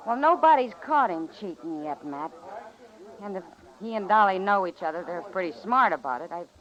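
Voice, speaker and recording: A woman's voice: medium pitch, animated, moderate pace, close to an everyday voice. An actress with an American accent playing an easygoing, worldly saloon woman in a radio western, chatty and familiar. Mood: gossipy, frank. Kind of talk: old-time radio western acting. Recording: muffled old-time radio recording.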